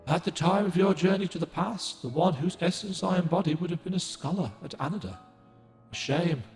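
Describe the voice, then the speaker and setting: An actor voicing a solemn ancient guardian spirit of the moon in a game, speaking in a formal, archaic, gentle and measured way. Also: male voice, sits high, creaky, roomy sound, long reverb tail, faint music behind. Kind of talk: game cutscene dialogue.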